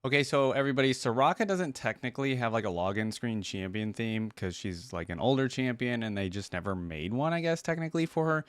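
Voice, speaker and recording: A man's voice: medium pitch, expressive, brisk, few pauses. A man giving casual reaction commentary as a host, speaking informally and thinking aloud.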